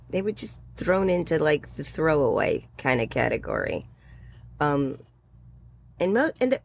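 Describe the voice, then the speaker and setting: Gravelly woman in her sixties. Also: low-pitched, a little hiss or hum. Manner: rambling, frequent ums, laughs between thoughts. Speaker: podcast guest, educator. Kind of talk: conversational interview.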